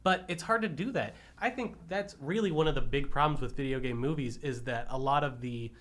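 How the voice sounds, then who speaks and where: A man's voice: mid-range voice, a little fast, fairly bright tone. A man with a middle-range voice talks as one of a podcast panel. His delivery is conversational, in the style of a casual movie review among several people.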